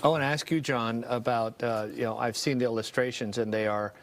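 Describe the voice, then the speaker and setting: A man's voice: medium pitch, fairly even intonation, brisk, a little hiss or hum. A man who grew up speaking Spanish, presenting and interviewing as a television news host. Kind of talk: studio news introduction and interview.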